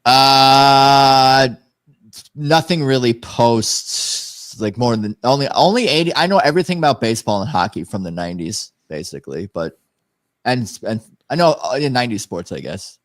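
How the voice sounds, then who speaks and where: A man with a deep voice chatting as a musician guest on a livestream, laid-back and self-deprecating. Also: lively intonation, normal speed, fairly bright tone, no echo. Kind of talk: casual chat with singing practice.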